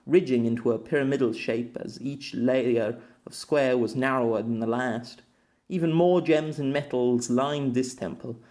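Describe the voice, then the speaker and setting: Man, audiobook narrator, even unhurried reading, own novel. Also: mid-range voice.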